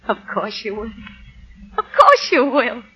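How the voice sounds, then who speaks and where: An actress playing a devoted, encouraging young wife who can be firm, in 1940s radio drama style. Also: female voice, on the high side, big pitch swings, measured pace, background noise. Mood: tender, reassuring. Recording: muffled 1940 radio broadcast.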